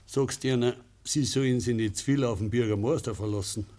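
A man's voice: mid-range voice, fairly quick, warm tone, close to an everyday voice. Actor as a stern Bavarian farmer father. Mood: stern, warning. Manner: firm, insinuating, terse. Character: proud, grumpy farmer father, guarded and warning. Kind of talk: rural dialect radio drama acting.

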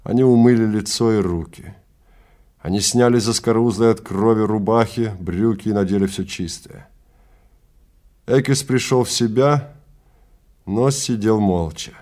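A man with a fairly low voice serves as narrator. He reads scripted science fiction in a dramatic storytelling style. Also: unhurried, long pauses, warm tone.

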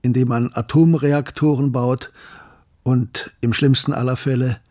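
An actor playing a sober male narrator who recounts wartime history in a measured, factual way, with a husky voice. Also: mid-range voice, fairly even intonation, dark tone, natural voice. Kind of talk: scripted narration in a radio play.

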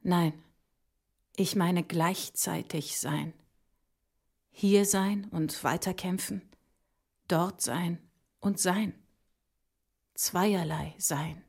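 An actress playing a visionary woman mathematician, solemn and urging, with husky, breathy speech in a poetic audio drama. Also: fairly low pitch, darkish tone, exaggerated voice. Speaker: performer in an experimental audio drama. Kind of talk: poetic scripted dialogue.

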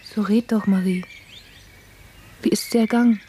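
An actress playing a determined housemaid and organiser in a German radio play, prompting others in a matter-of-fact way. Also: female voice, medium pitch, steady tone, normal speed, mellow, slight background noise, close to an everyday voice. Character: Elise, determined housemaid organising servants' meetings.

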